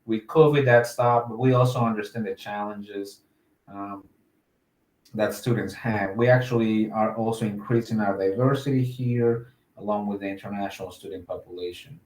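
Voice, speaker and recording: Guy from mexico talking up his college, casual with lots of ums, lowish voice, roomy echo. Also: steady tone, normal speed, darkish tone. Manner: casual, upbeat, uses fillers. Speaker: admissions officer. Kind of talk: interview answers.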